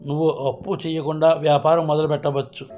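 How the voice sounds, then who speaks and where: A man's voice: on the high side, flat delivery, fairly quick, a little mellow, faint music behind, lightly acted. A man playing village folk in the scripted dialogue of a storybook moral tale. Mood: shrewd. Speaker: voice of the story's characters.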